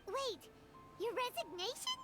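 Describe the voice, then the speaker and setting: A woman's voice: extremely high pitch, sing-song, unhurried, full character voice. A woman voicing a small, childlike fairy companion in a video game, bubbly, curious and exclaiming. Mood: puzzled. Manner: refers to self in third person, exclaims. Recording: game music behind the voice.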